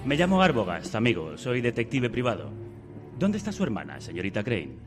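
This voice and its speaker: An actor playing a cool, probing private detective in a radio thriller. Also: male voice, medium pitch, huge pitch swings, fairly quick. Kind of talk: modern radio drama acting. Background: clear background music.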